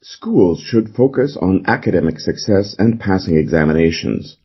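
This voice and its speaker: A man's voice: deep voice, lively intonation, normal speed, lo-fi sound. A man reading a scripted model essay aloud in the role of a reader for English exam practice.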